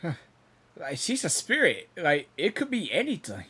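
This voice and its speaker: A husky woman reacting to a game, mostly murmuring along and then bursting out with excitement. She has a fairly low voice with a lively, swinging pitch, and faint game music plays behind her. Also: moderate pace. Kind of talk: live reaction commentary.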